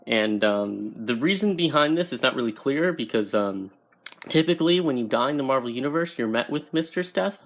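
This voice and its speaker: A man hosting an informal explainer video about comics, speaking haltingly as he searches for his words. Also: mid-range voice, expressive. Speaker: YouTube comics explainer host.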